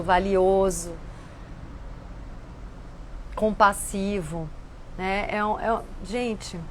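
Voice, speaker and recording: Woman, 50s, host of a reflective chat show, earnest and conversational, faint noise under the voice. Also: medium pitch. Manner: warm, earnest, conversational with frequent "né". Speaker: host answering viewer questions. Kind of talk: casual reflective talk.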